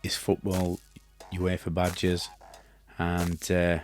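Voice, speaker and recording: A man in his fifties hosting a casual podcast interview in a cluttered home studio, talking haltingly with many fillers and restarts. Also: low-pitched, completely flat delivery, faint music behind. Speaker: podcast host. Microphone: studio mic with headphones.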